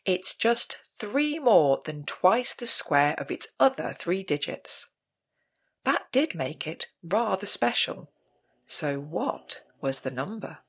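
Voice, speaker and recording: A woman reading out a scripted pub quiz as host, in a measured, announcing manner. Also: on the low side, sing-song, breathy edge.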